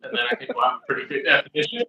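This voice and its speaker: A man from Ontario, Canada, trading deadpan one-liners as a guest on a live stream. His voice is bright and a little tense. He sits back from the mic in an echoey room. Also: rapid-fire, distant mic, highs cut off, slight background noise. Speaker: podcast guest. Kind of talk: casual live-stream chat. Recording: roomy sound from off the mic.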